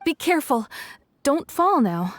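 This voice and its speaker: A high female voice, recorded dry. It is a kindly townswoman in a fantasy game, speaking with caring, natural delivery. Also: very animated, unhurried, no echo. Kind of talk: video game voice acting.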